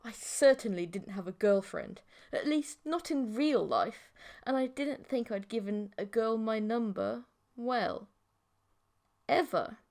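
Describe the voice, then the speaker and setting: A woman's voice: on the high side, takes it slowly, a little mellow. A woman narrating a scripted first-person audiobook story.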